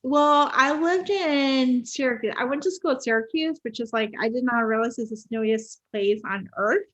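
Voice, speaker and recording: A woman's voice: fairly high pitch, moderate pace, lo-fi sound. A woman from Puerto Rico hosting a book podcast, chatting casually with many "like" fillers. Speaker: podcast host. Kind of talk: casual interview chat.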